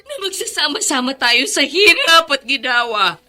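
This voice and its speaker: A bright, high-voiced mother in a Filipino melodrama. She is proud and fiercely protective, speaking with emphatic emotion. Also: big pitch swings, moderate pace, faint music behind, exaggerated voice. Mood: tearful pleading.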